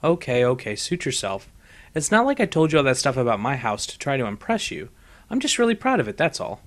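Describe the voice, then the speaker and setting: Guy doing a brash young girl pegasus pony, cocky n excitable, audiobook character. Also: mid-range voice, brisk, lightly acted. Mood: defensive pride. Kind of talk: fan fiction audiobook character voice.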